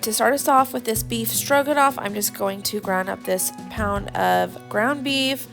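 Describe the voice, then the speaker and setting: Hoarse, chatty woman cooking vlogger. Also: mid-range voice, sharp tone. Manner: chatty, with frequent ums and likes. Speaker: cooking channel host. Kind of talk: casual cooking vlog chat.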